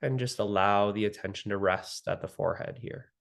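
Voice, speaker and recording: A man leading a guided meditation as the guide, speaking gently and soothingly with long pauses between phrases. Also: on the low side, steady tone, creaky.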